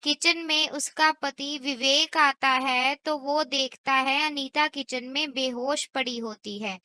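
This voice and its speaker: A woman's voice: high-pitched, fairly even intonation, takes it slowly, pushed and bright, no echo. A woman storyteller reading scripted narration for a cartoon moral story.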